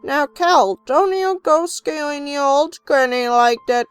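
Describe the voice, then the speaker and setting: A woman's voice: high voice, measured pace, faint music behind. A woman voicing an elderly country grandmother pony who is caring and folksy, in an audio drama. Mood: gently scolding. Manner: folksy rural phrasing.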